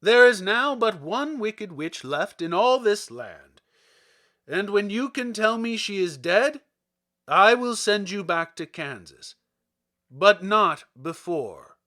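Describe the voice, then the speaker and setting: Storybook wizard, a huge floating head played by a male reader: booming, imperious, raised pitch, slow and weighty delivery. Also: very animated, bright, forceful tone, exaggerated voice. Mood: stern, imperious. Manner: imperious, emphatic, deliberate. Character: great disembodied wizard head, commanding, stern, terrible. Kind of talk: dramatic storybook character reading.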